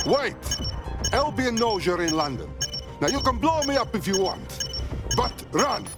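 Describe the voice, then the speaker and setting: High-voiced, urgent resistance operative. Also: male voice, sing-song, measured pace, over loud music. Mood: urgent, pleading. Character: wary, earnest underground resistance operative.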